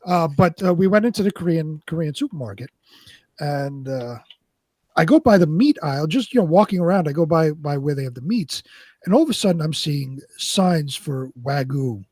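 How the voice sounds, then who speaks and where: Higher-pitched man chatting casually, close and dry. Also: lively intonation, darkish tone, no echo. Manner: rambling, halting storyteller. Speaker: co-host of a live online show. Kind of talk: casual chat.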